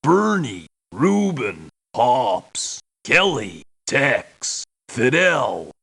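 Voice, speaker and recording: A gruff male mercenary in a video game, speaking in isolated, very slow-spaced words. The voice is creaky and raspy. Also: medium pitch, big pitch swings, a bit bright, exaggerated voice. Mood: terse.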